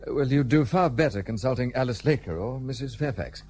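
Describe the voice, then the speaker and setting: An actor playing a curt, cynical British gentleman in colonial India, clipped and formal, in a period radio play. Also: mid-range voice, lively intonation, fairly quick, noisy background, close to an everyday voice. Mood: stiff, disapproving.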